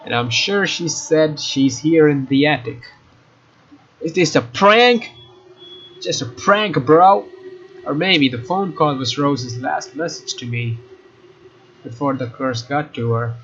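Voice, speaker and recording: A man doing a let's play, reading visual novel narration aloud in a casual, expressive way with informal asides. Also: a little high, takes it slowly, long pauses, slightly rough. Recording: dull lo-fi mic, faint noise.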